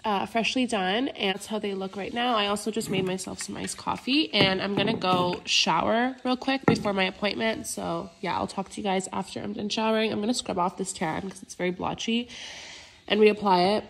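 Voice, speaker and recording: A young woman vlogger chatting casually to camera with a stuffy, congested, raspy voice, gushing and filling pauses with "like" and "yeah". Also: medium pitch, fairly even intonation, slight background noise. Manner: bubbly, gushing, many fillers like "yeah" and "like". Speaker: lifestyle vlogger.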